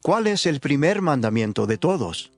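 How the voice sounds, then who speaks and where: A man playing an earnest, respectful religious scholar in a dramatized scripture audiobook, with measured delivery. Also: fairly high pitch, big pitch swings, faint music behind.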